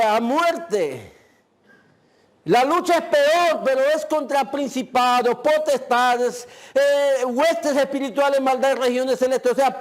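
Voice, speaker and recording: Male pastor, very high voice, unhurried emphatic sermon delivery. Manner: slow, emphatic preaching with drawn-out phrases; uses the filler "este".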